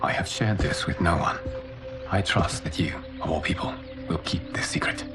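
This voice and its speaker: An actor playing a calm, noble warrior protector in a game cutscene, speaking in a measured, formal and solemn way. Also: male voice, low-pitched, close to an everyday voice. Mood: solemn, earnest. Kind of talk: dramatic video game cutscene acting. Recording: orchestral game music behind.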